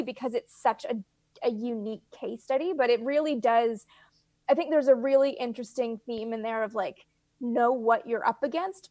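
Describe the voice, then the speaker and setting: A Canadian woman with a fairly high voice talks casually as an interview guest. She is animated and emphatic, and the sound is close and dry. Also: no echo. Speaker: guest author.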